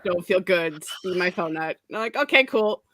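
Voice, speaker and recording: A woman in casual stream chat, teasing and quick, often laughing. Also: lowish voice, expressive, fairly bright tone, a little hiss or hum.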